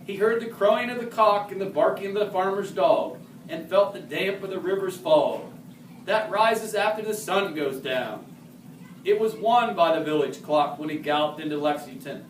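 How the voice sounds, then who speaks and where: A man's voice: high-pitched, animated, moderate pace. A man reciting a narrative poem as an amateur reader, occasionally stumbling over harder words and correcting himself. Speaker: amateur reader in the role of a historical horseman. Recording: roomy, distant mic, faint background noise.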